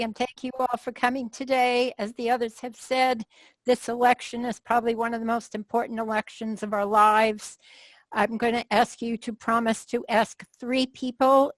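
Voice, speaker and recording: A woman's voice: fairly high pitch, no echo, poor audio. A woman making an earnest address to an audience. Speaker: technical assistant.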